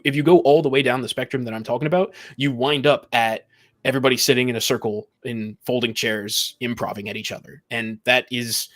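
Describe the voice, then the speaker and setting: A man giving emphatic, opinionated views in a casual podcast discussion of tabletop games. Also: medium pitch. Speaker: podcast panelist.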